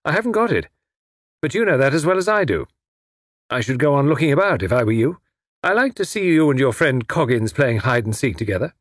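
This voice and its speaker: A man's voice: on the high side, wildly swooping pitch, fairly quick, husky, no echo, studio quality, pitched above the performer's own voice, full character voice. A man voicing a cheerful, flippant young English detective, breezy and unruffled in a story.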